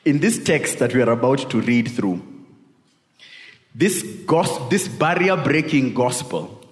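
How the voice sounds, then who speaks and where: Kenyan man preaching in an echoey hall. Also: mid-range voice. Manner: informal, peppered with tag questions and Swahili asides. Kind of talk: conversational sermon with personal storytelling. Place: large reverberant indoor hall. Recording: echoey hall with long reverb.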